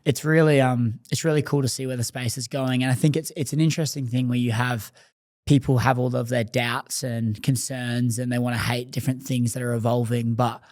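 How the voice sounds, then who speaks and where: A man's voice: medium pitch, flat delivery, brisk, darkish tone, no room sound, clean studio sound. Rambling podcast host, a man. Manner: rambling, filler-heavy questions. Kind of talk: casual interview questions.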